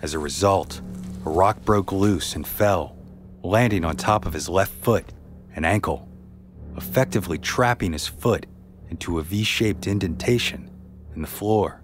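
A man narrating a scripted true story as a podcast host, in a measured, somber way. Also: deep voice, over music.

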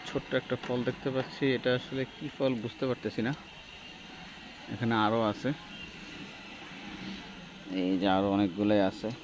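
Clear outdoor noise and traffic ambience surround a man with a Bangladeshi accent. He speaks at a middle pitch in casual commentary, musing aloud and posing questions as he films on a handheld phone. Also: normal speed, pauses often. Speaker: vlogger. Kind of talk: casual spoken commentary while filming plants. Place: outdoors on a rooftop garden. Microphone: handheld phone behind the camera.

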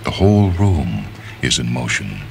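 A man reading a literary short story as a radio narrator, in a measured, deliberate and dramatic delivery. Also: rumbling low, very animated, creaky. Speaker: reader of short stories on a radio show. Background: background music.

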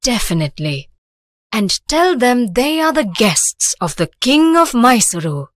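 A woman's voice: a little high, big pitch swings, unhurried, dry recording, clean studio sound, voice pushed up. A woman voicing a finely dressed young palace lady in a children's story, happy and reassuring. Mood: kindly, pleased.

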